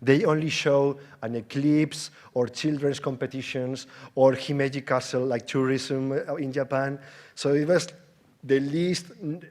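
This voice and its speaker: A man presenting an academic conference lecture in a halting way, with false starts, repeated words and conversational rhetorical questions. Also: mid-range voice, darkish tone. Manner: explains through informal, conversational asides and rhetorical questions.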